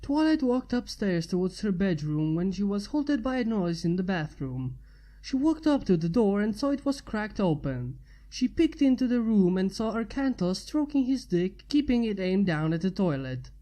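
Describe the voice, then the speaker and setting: A woman's voice: medium pitch, big pitch swings, moderate pace, dark tone. A woman reading a scripted story as its narrator. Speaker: fan fiction narrator.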